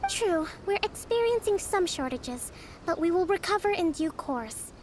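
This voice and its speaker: Calm young leader negotiating, high clear voice, some noise behind. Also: female voice. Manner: calm, measured, diplomatic. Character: young female island leader, calm strategist. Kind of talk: video game voice acting.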